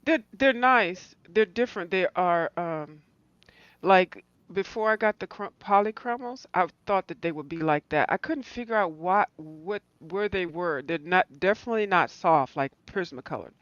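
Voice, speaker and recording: A woman's voice: on the low side, expressive, normal speed, fairly bright tone, dry recording, muffled recording. A woman chatting casually on a call, rambling loosely and thinking aloud as she talks. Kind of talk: casual chat about art supplies.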